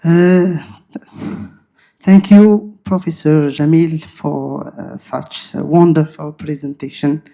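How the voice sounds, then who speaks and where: Slow, creaky, hesitant audience questioner. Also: mid-range voice, steady tone, warm tone. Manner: halting, hesitant, polite. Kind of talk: spontaneous formal question.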